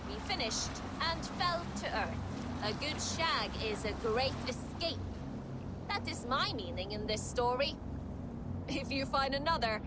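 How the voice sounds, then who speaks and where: A bawdy Viking storyteller in a game, with a high voice. She brags in a playful, declamatory way, and loud boat and water noise runs behind her. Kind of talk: spoken storytelling in a video game. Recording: noisy boat and water ambience.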